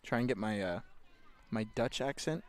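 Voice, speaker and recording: A man's voice: medium pitch, expressive, moderate pace. A man with a Californian accent giving laid-back, conversational play-by-play at a college soccer match. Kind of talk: play-by-play commentary.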